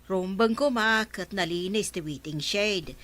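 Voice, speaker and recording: A woman's voice: mid-range voice, unhurried, a bit bright, close to an everyday voice. An actress with an Ilocano accent playing a concerned, tattling village woman in a radio comedy drama.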